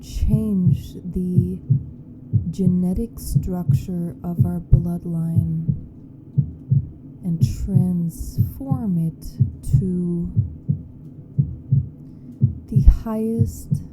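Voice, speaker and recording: A woman leading a guided meditation in a hushed, deliberate manner with long pauses. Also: steady tone, low-quality recording. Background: meditation music with a low hum.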